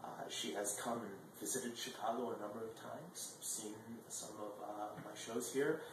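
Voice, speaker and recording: A man's voice: on the low side, fairly even intonation, measured pace. Young man in a very echoey room. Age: 20s. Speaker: video blog host. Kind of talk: casual chat to camera. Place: indoor dining room at a table. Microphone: far camera microphone. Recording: echoey room, distant mic, faint noise.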